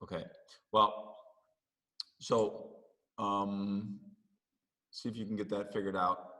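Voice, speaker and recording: A man's voice: lowish voice, little pitch movement, slow pace, bright, forceful tone. Easygoing man teaching over a video call. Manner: loose, explanatory, with many fillers. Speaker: music teacher leading a group session. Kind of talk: casual online class talk.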